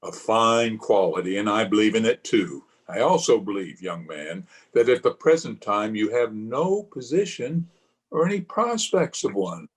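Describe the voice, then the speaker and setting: A man's voice: lowish voice, animated, moderate pace, close to an everyday voice. A man playing a stern, dry, formal middle-aged father in an old-time radio drama. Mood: coolly disapproving. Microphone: video call.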